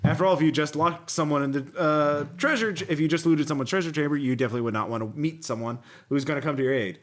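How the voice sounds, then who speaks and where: A man's voice: a little high, fast talker. Man casually hosting a tabletop podcast. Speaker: podcast host. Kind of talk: casual chat, reading game text aloud with commentary.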